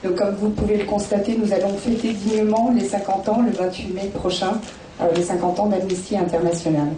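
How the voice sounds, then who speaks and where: A woman's voice: medium pitch, level tone, fairly quick, mellow, a little hiss or hum. A woman introducing an event to an audience, speaking hesitantly with frequent fillers. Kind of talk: public introduction speech. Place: bookstore event room. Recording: echoey room, far from the mic.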